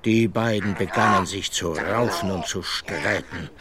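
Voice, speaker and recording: A man's voice: low voice, measured pace, a crowd in the background. A man narrating a scripted children's radio drama like a storybook.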